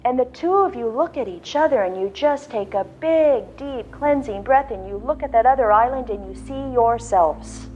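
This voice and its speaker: A woman leading a guided visualization, speaking slowly and soothingly with soft pauses. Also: sits high, animated, a little hiss or hum. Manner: slow, soothing, drawn-out phrasing with soft pauses. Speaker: guided meditation leader. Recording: slightly dull lo-fi audio with faint background music.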